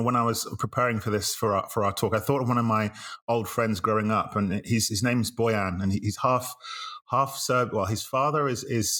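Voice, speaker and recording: A man's voice: fairly low pitch, fairly bright tone. A man hosting a podcast interview who chats in a friendly way and uses fillers as he talks. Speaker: podcast host.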